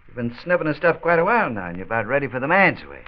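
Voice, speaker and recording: An actor playing a slick, callous, streetwise drug dealer with a breezy, tough manner in a 1940s radio drama. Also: male voice, medium pitch, big pitch swings, very fast, close to an everyday voice. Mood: slick selling. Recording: dull lo-fi old radio recording.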